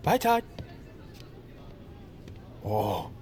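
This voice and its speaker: A man's voice: on the low side, wildly swooping pitch, very slow, a little mellow, some chatter behind, a little hiss or hum, exaggerated voice. An actor playing an easygoing male workmate who banters casually and playfully in an audio drama.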